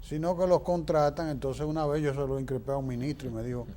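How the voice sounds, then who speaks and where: A man's voice: on the high side, fairly even intonation, quick pace, warm tone, a little hiss or hum. A man with a Dominican Spanish accent giving opinionated, explanatory political answers as an interview guest, emphatic and fond of rhetorical questions.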